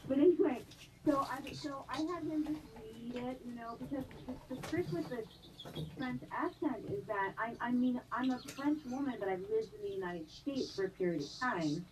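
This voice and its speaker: Chatty actress on a distant mic. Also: female voice, a little high, moderate pace. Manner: animated, chatty, laughs often. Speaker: guest actress. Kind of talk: live-stream interview chat. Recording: distant mic with faint noise.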